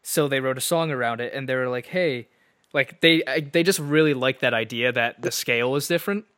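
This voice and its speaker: A man's voice: medium pitch, brisk, fairly bright tone, dry recording, studio quality. A young man co-hosting a podcast, chatting casually about music, rambling with lots of "like" and laughing easily. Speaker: podcast co-host.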